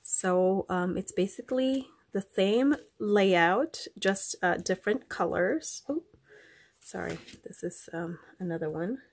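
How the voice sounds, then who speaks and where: Slow-talking woman with a nasal, stuffy voice. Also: mid-range voice, animated, breathy edge. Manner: rambling, many ums, warm and chatty to viewers. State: stuffy from allergies. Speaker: craft vlogger. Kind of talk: casual show-and-tell chat.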